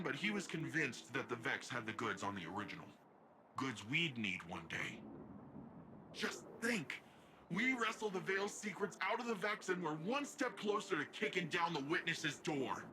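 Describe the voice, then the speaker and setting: An actor playing a brash, upbeat young hero in a video game, with casual, slangy, animated delivery. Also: male voice, fairly high pitch, normal speed, background noise. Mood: eager, upbeat.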